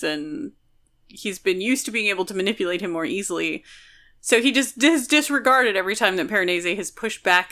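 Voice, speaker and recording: A woman's voice: medium pitch, fairly bright tone. A woman co-hosting a podcast, talking through a novel in a casual, analytical way and explaining her reasoning at length. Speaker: podcast co-host discussing a novel. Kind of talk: casual analytical book discussion.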